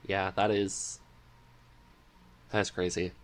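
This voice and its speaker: Low, slow-talking man hosting a podcast. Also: flat delivery. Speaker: podcast host, interviewer. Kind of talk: casual interview chat.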